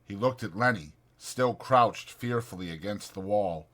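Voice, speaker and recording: A man's voice: lowish voice, measured pace, a bit bright. A man narrating a novel as a dramatized audiobook.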